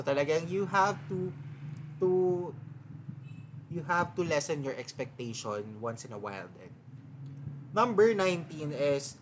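A man in his twenties with a Filipino accent, hosting a casual reflective podcast and tagging his phrases with quick questions. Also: medium pitch, background noise. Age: 20s. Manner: chatty, mixes English and Tagalog, ends phrases with "diba?". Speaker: podcast host.

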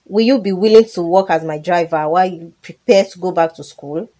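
A woman with a Nigerian accent playing a caring, composed older benefactor in a radio drama, speaking gently and in measured phrases. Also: lowish voice, lightly acted. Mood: kindly.

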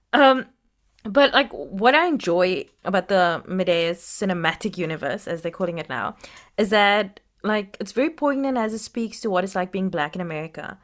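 A woman's voice: medium pitch, lively intonation, moderate pace. Woman from Africa, interviewer, chatty, says "like" a lot. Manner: chatty, with filler "like". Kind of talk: interview questions.

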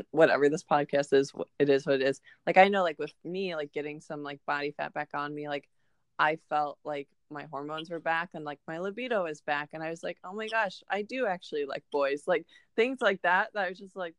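A woman's voice: deep voice, smooth, no room sound. A woman in her early twenties talking casually and openly on a podcast, informal and outspoken, with frequent swearing. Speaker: podcast co-host. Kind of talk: casual, confessional podcast chat.

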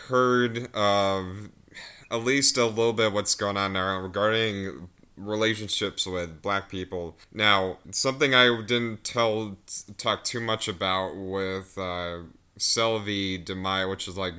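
A man's voice: on the low side, takes it slowly, pushed and bright, clear, resonant tone. A man hosting a casual interview from his room at home over a video call, talking in a rambling way with frequent restarts and asides. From United States. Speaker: interviewer, host.

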